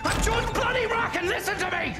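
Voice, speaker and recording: A posh british pilot sore loser, high voice, all bluster, echoey game cutscene. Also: male voice, fairly quick. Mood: furious. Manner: blustering, indignant. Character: outraged upper-class British aviator, sore loser. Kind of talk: video game cutscene acting. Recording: echoey, loud music behind.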